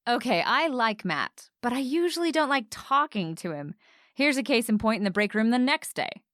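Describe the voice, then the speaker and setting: An actress plays a driven, prickly professional woman in a romantic comedy radio play. Her voice sits fairly high, and she delivers her lines bluntly and dryly, with little patience. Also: very animated, normal speed, fairly bright tone, dry recording, clean studio sound. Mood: dry, matter-of-fact. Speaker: lead actor and first-person narrator.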